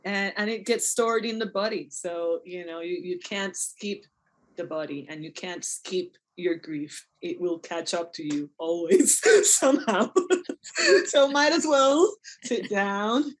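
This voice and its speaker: A woman's voice: mid-range voice, measured pace. A woman dancer and choreographer, a podcast guest, speaking thoughtfully in bursts and laughing often. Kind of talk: reflective interview conversation.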